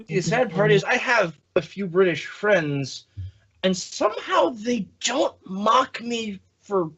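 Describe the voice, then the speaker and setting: A man with a high, animated voice chats and jokes as a host. His pitch moves around freely. The sound is a little dull and lo-fi. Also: slow, breathy edge. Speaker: host of an audio drama review. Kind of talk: casual joking chat.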